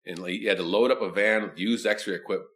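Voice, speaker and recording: A man with a deep voice chatting casually, telling self-deprecating stories with frequent stammering restarts. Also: fairly even intonation, quick pace, a bit bright. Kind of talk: casual conversation.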